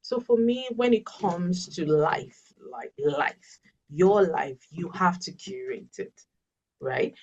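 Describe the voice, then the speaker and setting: A woman's voice: on the low side, big pitch swings, slow, a little mellow, far from the mic, dull audio. A Yoruba-speaking woman, a life coach answering webinar questions earnestly and emphatically, often repeating her key phrases. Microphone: video call.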